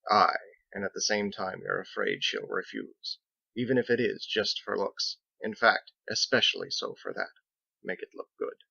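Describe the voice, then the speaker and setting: A man voicing a genteel, wry, philosophical sea captain who speaks formally and deliberately in a storybook reading. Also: deep voice, little pitch movement, vocal fry, pushed and bright, husky, dry recording, lo-fi sound, exaggerated voice. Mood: kindly. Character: genteel, measured, pipe-smoking male sailor captain, wry and philosophical.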